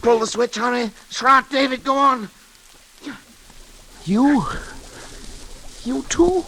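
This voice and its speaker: A man playing an obsessive middle-aged scientist in 1940s radio drama style, intense, theatrical and halting. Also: very high voice, bright, forceful tone, heavily acted. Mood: horrified. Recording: old radio drama with music.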